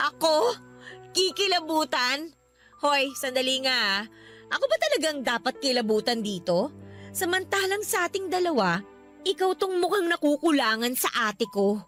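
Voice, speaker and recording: An actress playing a scheming, flirtatious younger sister in a radio drama, with a teasing, taunting, giggly manner. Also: female voice, sits high, sing-song, unhurried, pauses often, fairly bright tone, soft background music, full character voice. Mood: mocking, threatening. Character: scheming, flirtatious younger sister seducing her brother-in-law. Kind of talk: Tagalog radio drama acting.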